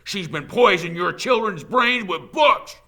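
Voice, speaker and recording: A man voicing the furious leader of an angry mob in an audiobook, shouting accusations. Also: high-pitched, lively intonation, measured pace, vocal fry, pitched above the performer's own voice, full character voice.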